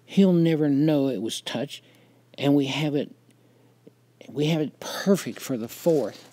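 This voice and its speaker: A man's voice: medium pitch, lively intonation, measured pace, mellow, pitched above the performer's own voice. A man voicing a pushy older boy in a story, insistently coaxing a younger child. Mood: reassuring, wheedling.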